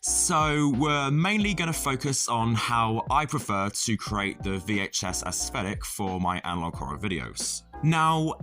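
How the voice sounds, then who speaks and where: A man giving a casual tutorial voice-over as a video creator, with deadpan, self-deprecating, sweary humour. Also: on the low side. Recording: music playing under the voice. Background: background music.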